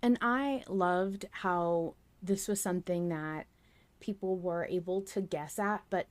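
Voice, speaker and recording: Female podcast host, a little low in pitch, thoughtful and casual in discussion. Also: takes it slowly. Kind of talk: casual, reflective fan discussion.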